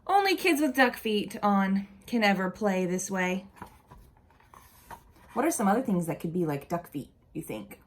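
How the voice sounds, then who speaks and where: A woman's voice: medium pitch, a little hiss or hum. A woman reading a children's picture book aloud expressively, with casual asides and questions for young listeners. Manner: animated, deliberate, asks the listeners questions.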